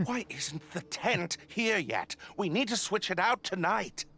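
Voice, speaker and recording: A man's voice: high voice, animated, a little slow, gravelly, pushed and bright, soft background music, heavily acted. A man playing a shifty, irritable, falsely polite expedition boss in a video game, with a nervous, evasive manner. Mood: irritated, scolding.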